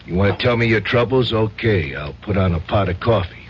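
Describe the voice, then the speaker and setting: An American actor playing a tough, loyal, shady buddy with slangy, chummy talk in a radio drama. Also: male voice, fairly low pitch, animated, a little fast, natural voice. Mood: gruff, sympathetic. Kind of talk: 1970s radio drama acting. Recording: muffled old radio broadcast, faint hiss.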